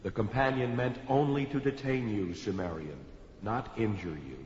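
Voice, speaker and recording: A solemn, age-old monster speaking into the mind in an audio drama. The low voice declaims formally and reproachfully, booming in long reverb on a muffled recording. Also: male voice, normal speed, darkish tone, slight background noise. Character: ancient telepathic slug creature, solemn, reproachful last survivor. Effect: cavernous reverb.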